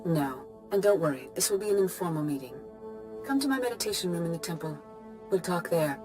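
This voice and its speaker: An actress playing the serene female leader of the Jedi Council in a video game, with a measured manner. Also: on the low side, animated, close to an everyday voice. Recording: game cutscene with music behind.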